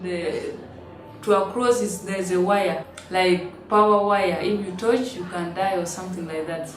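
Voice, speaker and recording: A woman, telling her story in halting, simple English with frequent restarts. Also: fairly low pitch, steady tone, soft background music. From South Sudan. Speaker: interviewee, refugee. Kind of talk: personal testimony in an interview. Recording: roomy, distant mic, noisy ambience.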